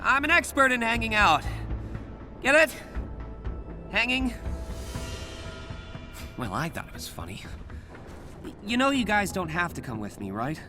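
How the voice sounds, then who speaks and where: A man's voice: high voice, big pitch swings, unhurried, long pauses. An American man playing an upbeat, wisecracking, nervy young superhero in a video game, chatty and rambling. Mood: joking, awkward. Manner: chatty, rambling, self-correcting, jokey. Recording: game music behind the voice.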